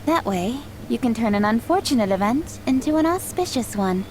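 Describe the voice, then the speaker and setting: An actress voicing a polite, soft-spoken young woman in a game who explains traditions in a measured, formal way. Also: a little high, animated, slight background noise, close to an everyday voice. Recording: game music behind.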